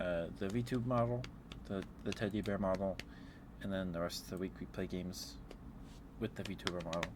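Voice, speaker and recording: A man chatting casually on an ASMR livestream as the host, in a hesitant, halting, chuckly and apologetic way. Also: fairly low pitch, noisy background. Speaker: live streamer hosting an ASMR stream.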